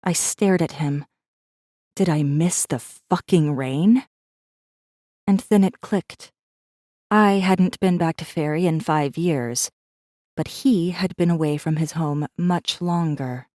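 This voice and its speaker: A woman's voice: deep voice, takes it slowly, warm tone, no echo, studio quality. A woman playing a defiant, bitter captive rebel assassin who narrates her own story in an intense, brooding way. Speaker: audiobook narrator. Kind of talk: first-person dramatic narration.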